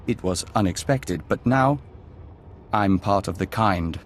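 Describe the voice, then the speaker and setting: A serene, formal envoy of an insect hive, acted by a man for a video game. His voice sits low and his phrasing is courtly and collective. Faint ambient noise runs behind him. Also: a little slow, close to an everyday voice. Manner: formal, speaks of himself as we. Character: serene insect-joined human diplomat speaking as a hive. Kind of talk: scripted video game acting.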